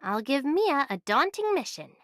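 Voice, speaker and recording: A thoughtful young heroine with a fairly high voice, caring and soft-hearted. It is anime game acting with a dry, studio-close sound. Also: female voice, big pitch swings, normal speed, dry recording. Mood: concerned, tender.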